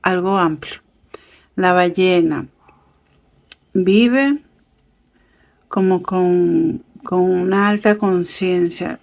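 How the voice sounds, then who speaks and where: A woman giving a casual tarot reading, chatty and affectionate, with many fillers and soft laughs. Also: on the low side, vocal fry. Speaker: tarot reader. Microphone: camera set up over a table with cards.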